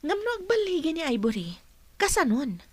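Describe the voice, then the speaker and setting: A woman's voice: extremely high pitch, very animated, moderate pace, a little hiss or hum. An actress playing a businesslike but supportive senior female office colleague in a Filipino radio drama, instructive and matter-of-fact. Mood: concerned.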